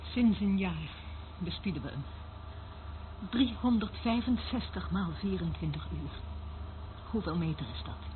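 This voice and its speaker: An actress playing a bitter, mocking surveillance worker in a radio drama, with an intense, sardonic manner. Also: female voice, mid-range voice, expressive, measured pace, long pauses, narrow, tinny audio, close to an everyday voice. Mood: bitter, controlled. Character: bitter, mocking female eavesdropper instructing a newcomer. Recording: thin band-limited old radio recording, hiss.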